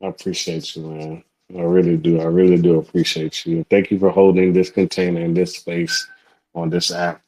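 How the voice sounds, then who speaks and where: A man with a deep, low voice co-hosts a casual support group conversation. He speaks in an affirming, easygoing way, often addressing the group directly. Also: little pitch movement, normal speed, warm tone, poor audio. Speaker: co-host.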